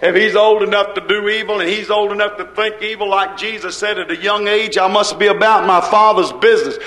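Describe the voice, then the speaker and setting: A man's voice: high-pitched, steady tone, brisk, bright, forceful tone, reverberant. A man preaching a fiery sermon, hammering points with repetition and a rising cadence.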